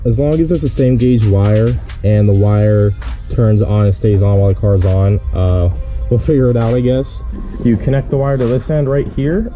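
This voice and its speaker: A man's voice: lowish voice, mellow. A man talking in a casual, laid-back, slangy way as a car vlogger explaining a hands-on job. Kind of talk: casual how-to chat.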